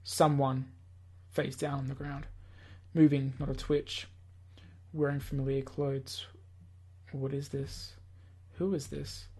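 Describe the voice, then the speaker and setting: A man's voice: medium pitch, little pitch movement, takes it slowly, darkish tone, slightly rough. A man reading a visual novel aloud in a theatrical, slightly stumbling way, as host of a gaming video. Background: game music.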